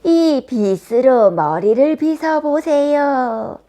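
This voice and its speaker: Woman playing a sing-song old peddler crone, slow and coaxing. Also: sits high, expressive, mellow, voice pushed up. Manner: drawn-out, sing-song vendor calls.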